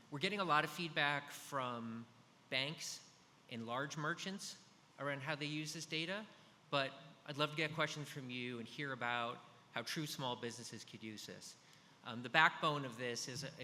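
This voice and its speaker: Loud bright-voiced man in his fifties. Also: medium pitch. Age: 50s. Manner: loud, chatty, many fillers and restarts. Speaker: conference speaker. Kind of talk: business presentation. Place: large conference hall. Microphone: handheld or stand microphone. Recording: hall reverb with faint noise.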